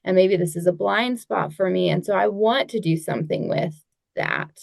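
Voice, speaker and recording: Gravelly woman thinking aloud. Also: mid-range voice, normal speed, dry recording, studio quality. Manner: reflective, thinks aloud with many "like"s and restarts. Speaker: coach, podcast guest. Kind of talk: casual podcast chat.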